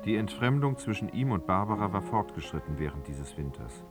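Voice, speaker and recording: An actor as a grave, observant literary narrator, telling the story expressively in a radio drama. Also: male voice, sits low, very animated, fairly quick, over loud music. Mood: measured.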